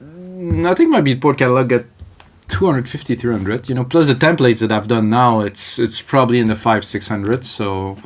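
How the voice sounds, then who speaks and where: A man's voice: medium pitch, moderate pace. A middle-aged man with a French Canadian accent, a music producer rambling casually through a live production tutorial, thinking aloud with many fillers. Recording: loud electronic music playing behind. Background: loud techno music and keyboard typing.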